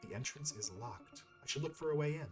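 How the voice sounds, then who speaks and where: Young adventure-game heroine, curious, earnest, wondering, voiced by a male player, soft music bed. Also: on the high side, expressive, fast talker, voice pushed up, lightly acted. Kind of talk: video game dialogue read-along.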